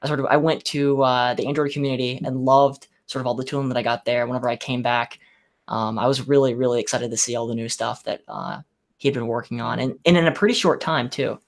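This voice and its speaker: A man's voice: mid-range voice, fairly even intonation, fast talker. A man talking casually and hesitantly about developer tools, with frequent fillers and enthusiasm. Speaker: podcast panelist. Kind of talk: casual tech discussion.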